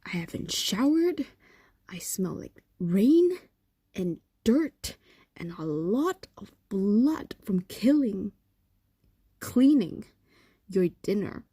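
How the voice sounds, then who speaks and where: A woman's voice: medium pitch, sing-song, slow pace, pauses often, dark tone, breathy edge, no room sound, studio quality, full character voice. A woman voicing an ancient, possessive and doting fox demon in an intimate roleplay audio drama, coaxing and condescending, often slipping into whispers. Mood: stern, menacing.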